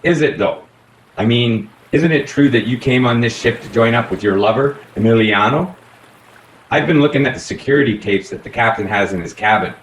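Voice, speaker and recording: A man's voice: medium pitch, close to an everyday voice. Man acting a theatrical inspector in a roomy recording. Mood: insinuating. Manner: deliberate, accusatory, dramatic. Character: shrewd, theatrical police inspector unveiling a murder. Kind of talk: radio play acting. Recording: roomy, faint background noise.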